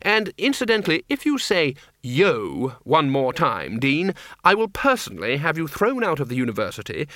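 A man voicing a bossy, exasperated older wizard chief in an audiobook, blustering and gruffly sarcastic. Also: high voice, very animated, measured pace, a bit bright, no echo, studio quality, voice pushed up, exaggerated voice. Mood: exasperated threatening.

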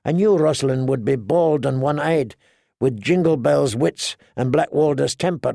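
A man's voice: fairly high pitch, gravelly, darkish tone, dry recording, clean studio sound. A man voicing a cheerful, flippant young lord with a wry, bantering manner in an audiobook. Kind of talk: audiobook character dialogue.

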